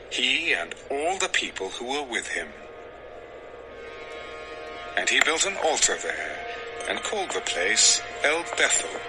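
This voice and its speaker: Male narrator, lively varied intonation, mid pitch, measured scripture storytelling, music underneath. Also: rough recording.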